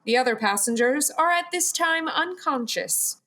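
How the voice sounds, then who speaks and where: Woman playing a high-voiced formal newscaster. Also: animated, normal speed, pushed and bright. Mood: formal, measured. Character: formal female newscaster reporting breaking news. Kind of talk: scripted news reading in radio play.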